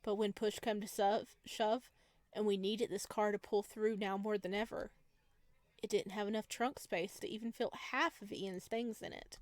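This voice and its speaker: A woman hosting a gaming video, reading visual novel text aloud and commenting in an expressive, chatty way. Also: medium pitch, no echo, clean studio sound. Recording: music behind the voice. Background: background music.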